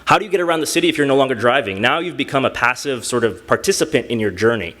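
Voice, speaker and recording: A man presents a tech demo on a conference stage, halting often with ums and restarts. His voice is mid-pitched, and a long hall reverb trails each phrase. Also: animated, fairly bright tone. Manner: halting, full of fillers and restarts. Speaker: hackathon team presenter.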